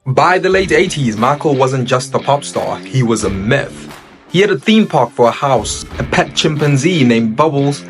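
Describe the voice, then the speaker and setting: A man's voice: medium pitch, expressive, moderate pace, faint music behind. A man narrating a jokey documentary and putting questions to the guest. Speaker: narrator and interviewer.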